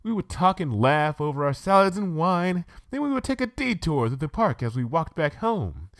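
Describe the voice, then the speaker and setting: A man's voice: a little high, very animated, normal speed, no room sound, clean studio sound, pitched above the performer's own voice. A man voicing a refined, dramatic young female unicorn who loves gossip, in an affected, genteel manner for a fan story reading. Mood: dreamy.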